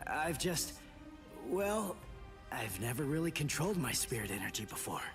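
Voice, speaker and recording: A man's voice: medium pitch, very animated, normal speed. A man playing a brash, hot-headed teenage boy swordsman in an anime game dub, blunt and exclamatory. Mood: exasperated. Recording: distant sound under game music. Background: game music.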